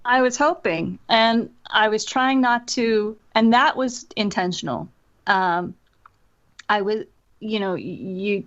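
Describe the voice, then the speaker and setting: American woman, normal pitch, chatting in an interview, kinda muffled lo-fi call sound. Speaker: interviewed author and voice actor. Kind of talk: conversational interview. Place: remote call from separate location. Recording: dull, lo-fi call audio.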